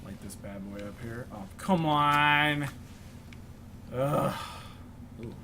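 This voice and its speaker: A man's voice: on the high side, lively intonation, slow pace, bright, forceful tone, slight background noise. A man hosting a let's play, chatting casually and thinking aloud as he plays. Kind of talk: casual gameplay chat. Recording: game music underneath.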